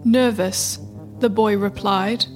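A woman narrating a scripted folktale as a measured storyteller. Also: mid-range voice, steady tone. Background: music.

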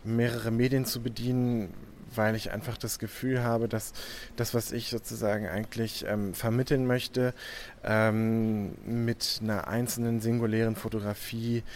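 A man's voice: lowish voice, level tone, normal speed, darkish tone, slight background noise. A young man from Hamburg, an artist, answering interview questions hesitantly with many filler sounds. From Hamburg, Germany.

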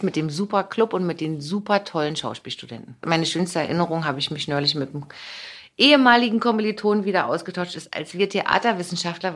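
A woman's voice: low voice, fairly bright tone. A woman in her fifties from Germany, giving thoughtful, philosophical interview answers and laughing often. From Germany, born in Leipzig, raised in Berlin. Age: 50s. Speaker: author, interviewee.

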